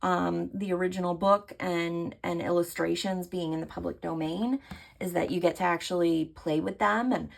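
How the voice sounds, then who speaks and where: A young woman vlogs about her art in a relaxed, rambling way, filling her thoughts with small pauses. Her voice sits a little low and comes out hoarse and rough. She sounds like she is musing aloud more than giving a prepared talk. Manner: chatty, thinking aloud with fillers. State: hoarse from allergies. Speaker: artist vlogger. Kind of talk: casual talk to camera.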